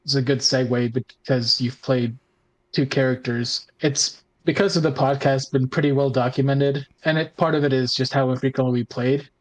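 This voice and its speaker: Podcast player just chatting, medium pitched voice, casual panel talk. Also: fairly even intonation, moderate pace, poor audio. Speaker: podcast cast member and player. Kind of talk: casual chat.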